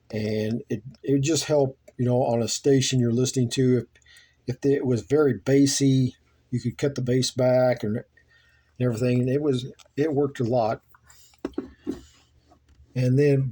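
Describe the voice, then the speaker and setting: A man giving a casual, unscripted tour of his hobby setup, plainspoken and halting, often repeating himself. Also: mid-range voice, warm tone, no echo. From United States. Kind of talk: casual unscripted show-and-tell. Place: small home radio room.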